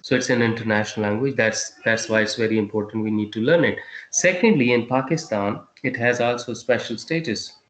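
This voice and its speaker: A male teacher giving a class lesson, quizzing his students, with a halting delivery full of fillers and restarts. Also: mid-range voice, fairly bright tone, reverberant, slight background noise, poor audio.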